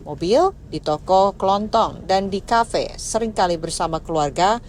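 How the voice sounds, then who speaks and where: A woman reading a scripted news voice-over in a steady, formal way. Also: mid-range voice, a little slow, a little hiss or hum. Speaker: news narrator.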